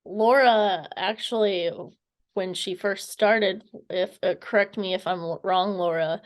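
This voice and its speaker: Slow, hesitant woman interviewer. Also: mid-range voice, steady tone. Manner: hesitant, searching for words. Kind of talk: casual interview questioning.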